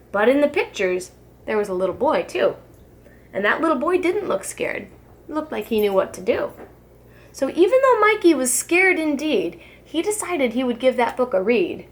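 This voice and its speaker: A woman, a preschool teacher, reads a children's storybook aloud in an expressive, sing-song rhyming delivery. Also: sits high.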